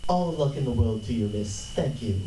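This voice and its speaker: A man's voice: mid-range voice, animated, a little fast, warm tone, natural voice. A man playing a poor, polite, plaintive street-singing beggar in an old-time radio drama. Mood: humble. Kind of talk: 1940s radio drama acting. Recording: echoey noisy convention room, distant mic.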